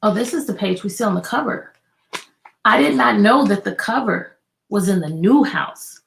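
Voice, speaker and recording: A woman teacher reading a children's book aloud, expressive and deliberate, prompting young listeners with questions. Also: mid-range voice, gravelly.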